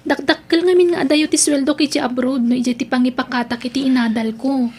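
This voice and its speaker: A woman's voice: high-pitched, steady tone, brisk, a little mellow, breathy edge. A woman with an Ilocano accent voicing a young adult daughter who works abroad, in an audio drama.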